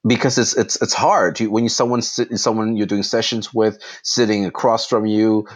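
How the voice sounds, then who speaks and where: A man's voice: lowish voice, steady tone, normal speed. A man who came over from the Philippines as a child, now a therapist, talking in a reflective, rambling way and restarting his sentences. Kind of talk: casual conversation.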